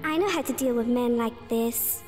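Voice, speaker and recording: A woman's voice: fairly high pitch, moderate pace, natural voice. An actress playing a feisty young female squirrel in a video game, sweet but defiant. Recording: loud game music behind.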